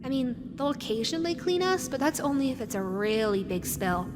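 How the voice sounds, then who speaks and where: A woman's voice: high-pitched, very animated, fairly quick, background noise. A woman voicing a lonely, possessive animatronic fox with a childlike, sweetly sinister manner, dreamy and lingering, in an audio roleplay. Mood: wistful, eerie. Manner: dreamy, lingering, repeats words softly. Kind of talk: scripted audio roleplay addressed to the listener. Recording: long hall-like reverb, music underneath. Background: background music.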